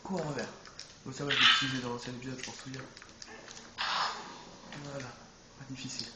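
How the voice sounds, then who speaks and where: A man's voice: mid-range voice, level tone, a little fast. A man with a head cold, chatting casually and rambling as he plays a video game, often correcting himself. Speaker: let's play gamer. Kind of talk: casual gameplay commentary. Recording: echoey room with background noise.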